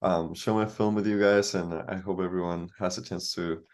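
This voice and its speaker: Male director from Costa Rica, very deep voice, polite, video call. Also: fairly even intonation, moderate pace. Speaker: documentary director.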